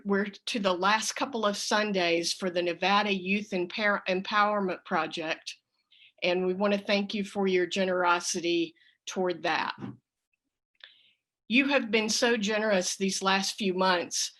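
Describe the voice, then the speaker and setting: Woman doing church announcements n asking for the offering, medium pitch, thankful and deliberate. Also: fairly bright tone. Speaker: church leader giving announcements and offering appeal.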